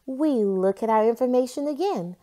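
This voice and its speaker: Woman reading as Margaret, an animated, eager girl scientist. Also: fairly high pitch, normal speed, voice pushed up, natural voice. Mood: explaining, confident. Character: Margaret, eager confident young girl scientist, storybook narrator-heroine.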